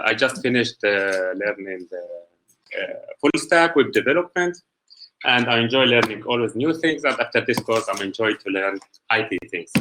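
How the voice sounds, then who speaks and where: A man from Syria giving a technical presentation as a student, speaking haltingly and searching for words in English. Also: mid-range voice, noisy background.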